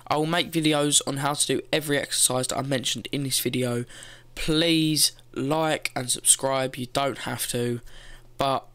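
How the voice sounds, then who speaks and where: A man's voice: mid-range voice, fairly even intonation, normal speed, fairly bright tone, clear, resonant tone. A teenage boy giving casual gym advice from his bedroom, loose and rambling, swearing now and then, talking into a gaming headset. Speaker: fitness vlogger. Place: bedroom, indoors.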